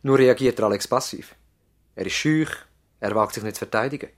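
A man's voice: mid-range voice, fairly quick, natural voice. A man playing an earnest, worried country doctor in a radio drama, formal and serious, with a Swiss accent. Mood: explaining. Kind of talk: German-language radio drama acting. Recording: slightly veiled 1970s radio drama sound.

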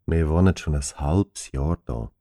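A man playing a calm, lenient father in a children's audio story, understated in manner. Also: rumbling low, unhurried, dry recording, clean studio sound, exaggerated voice.

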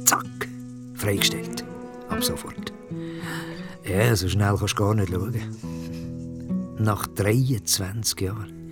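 A middle-aged Swiss German office man, speaks in a low voice. He is wry, colloquial and halting, a loyal colleague with a grievance in a radio play, over guitar music. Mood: bitter. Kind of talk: conversational radio drama monologue. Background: plucked guitar music.

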